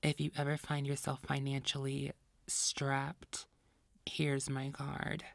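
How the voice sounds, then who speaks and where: A woman voicing an imperious vampire boss in an audio roleplay, commanding, condescending and deliberate. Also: extremely low pitch, monotone, creaky, no room sound, heavily acted. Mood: imperious, menacing.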